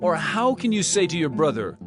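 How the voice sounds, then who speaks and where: A man playing an earnest, solemn male teacher with authority in a dramatized scripture reading, speaking in a measured, emphatic way. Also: a little high, very animated. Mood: admonishing. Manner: measured, emphatic, rhetorical questioning. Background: music.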